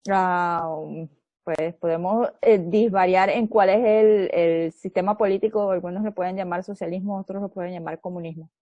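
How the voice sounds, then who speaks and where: A woman's voice: on the low side, steady tone, moderate pace, mellow, no room sound. A woman from Venezuela with a Venezuelan accent, an interviewee speaking hesitantly and carefully. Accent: Venezuelan Spanish. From Maracaibo, Venezuela. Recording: dry, muffled sound.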